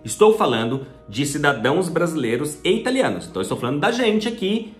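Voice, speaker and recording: A man's voice: fairly high pitch, big pitch swings, fast, echoey room, soft background music. A Brazilian man hosting his channel, explaining things chattily and often asking rhetorical questions. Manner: chatty, asks rhetorical questions, acts out little dialogues. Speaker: channel host. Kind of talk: explaining to the camera.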